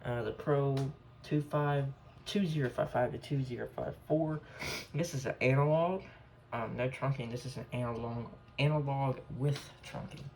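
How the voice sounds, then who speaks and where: Male hobbyist, off-the-cuff show-and-tell, rambling delivery with creaky fry, light background noise. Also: medium pitch, moderate pace, darkish tone. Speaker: hobbyist collector showing a radio collection. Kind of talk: casual unscripted show-and-tell.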